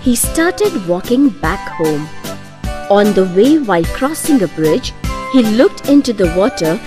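A woman's voice: mid-range voice, big pitch swings, measured pace, music in the background. A woman narrating children's moral stories from a script as a storyteller.

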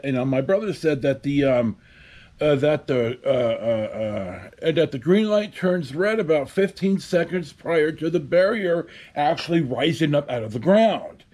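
A man in his fifties hosting a casual storytime to camera, with a halting, wry delivery. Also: on the high side, warm tone. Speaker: host. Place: covered outdoor patio at a house.

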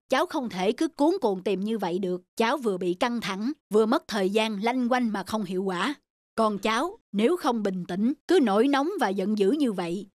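A woman voicing a wise, kindly, patient older owl in a cartoon dub, calm, measured and instructive. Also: mid-range voice, no room sound, natural voice. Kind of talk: cartoon dubbing. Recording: loud music under the voice.

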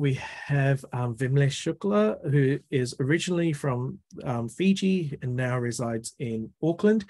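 A middle-aged man hosting a webinar on a video call from a home office, introducing the speaker in a measured way with many fillers. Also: mid-range voice, darkish tone, no echo. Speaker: webinar host.

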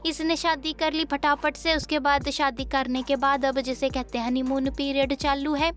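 Brief: woman gossip host, high bright voice, cheeky and teasing. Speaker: gossip show voice-over host. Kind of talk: teasing celebrity gossip chat.

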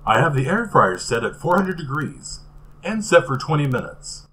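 A man's voice: a little high, normal speed. A man hosting a home cooking show in a small kitchen, talking casually with some fillers as he cooks and tastes. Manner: relaxed, chatty, with fillers and restarts.

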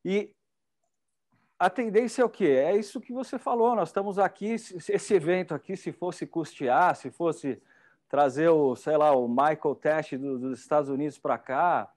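A middle-aged Brazilian man hosts from a studio stage on a lapel mic. His voice sits a little high, and he talks in a chatty, enthusiastic way. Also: normal speed, darkish tone. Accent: Brazilian Portuguese. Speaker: event host. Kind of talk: casual hosting chat.

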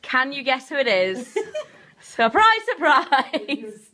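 Bubbly high-voiced woman vlogging. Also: sing-song, slow pace, sharp tone. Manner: bubbly, laughs often. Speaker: vlogger host. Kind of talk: casual vlog chat. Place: outdoors on a ski slope and in a chalet kitchen. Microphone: handheld camera.

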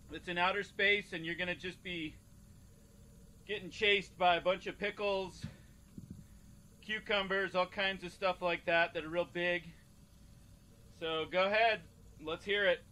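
High-pitched, rambling casting director. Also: male voice, fairly even intonation, unhurried, long pauses, pushed and bright. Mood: offhand, businesslike. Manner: rambling, stumbling, repeats himself. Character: casual, halting casting director running game auditions. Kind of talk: improvised comedic game acting. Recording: noisy cassette-tape recording.

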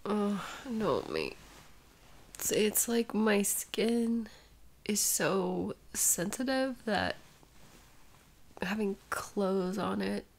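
A woman playing a sleepy, sick, clingy girlfriend in an intimate audio roleplay, speaking softly and haltingly, often near a whisper. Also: mid-range voice, very animated, gravelly, heavily acted. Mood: uncomfortable, complaining.

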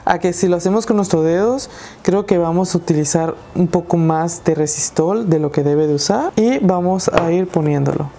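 Young mexican woman doing a diy craft tutorial, chatty, kinda low warm voice. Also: moderate pace, slight background noise. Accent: Mexican Spanish. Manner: chatty and encouraging, addresses viewers directly. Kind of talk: casual DIY tutorial talk.

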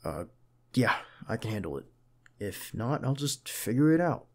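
A man playing a protective, caring bodyguard in an ASMR roleplay, talking conversationally and with gentle insistence to his boss. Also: mid-range voice, sing-song, normal speed, gravelly. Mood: reassuring. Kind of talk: ASMR roleplay acting, one side of a dialogue.

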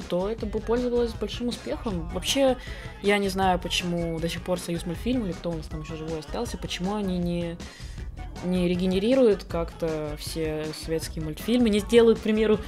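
A young woman artist chatting casually in a vlog, quick and self-deprecating, recorded at home at her computer desk. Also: medium pitch, a little mellow. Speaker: artist and video blogger. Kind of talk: casual vlog chat while drawing. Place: home room with computer setup.

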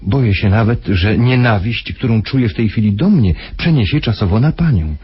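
An actor playing a grave, smoothly persuasive husband who speaks haltingly with weighty pauses in a radio play. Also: male voice, on the low side, expressive, highs cut off. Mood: grave, measured.

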